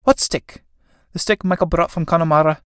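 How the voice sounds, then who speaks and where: A woman's voice: on the low side, normal speed, no echo, clean studio sound, lightly acted. A woman playing a worried young Irish girl, a younger sister in a stage drama, earnest and plain-spoken.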